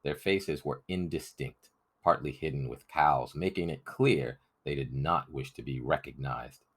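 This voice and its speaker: A man's voice: a bass voice, measured pace, husky. A man narrating a story as a scripted audiobook reading.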